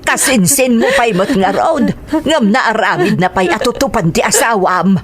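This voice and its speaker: A woman's voice: on the high side, lively intonation, moderate pace, crowd noise, close to an everyday voice. A woman playing an anxious, sympathetic mother in an audio drama, lamenting and exclamatory. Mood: dismayed. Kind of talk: melodramatic audio drama acting.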